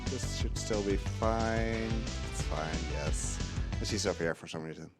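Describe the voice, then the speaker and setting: A relaxed young man with a lowish voice explains a game casually while he speedruns it at a hotel event and laughs often. Loud game music plays under his commentary. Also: measured pace.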